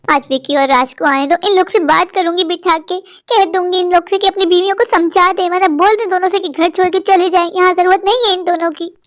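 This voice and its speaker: A domineering older mother-in-law, acted out by a woman, who nags her household and pities herself. The voice sits high and piercing, and she scolds in a comic family-drama style. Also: fairly even intonation, brisk, few pauses. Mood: grumbling. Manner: scolding, complaining, shouting for her daughters-in-law. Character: bossy older woman, a nagging self-pitying mother-in-law. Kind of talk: comic family skit acting.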